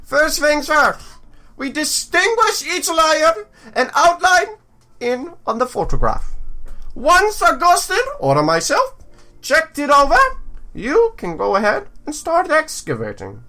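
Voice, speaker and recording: A man voicing a bubbly, instructive female dig supervisor in a visual novel, with bright, emphatic delivery. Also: extremely high pitch, wildly swooping pitch, slow, voice pushed up, exaggerated voice. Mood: chirpy, instructive.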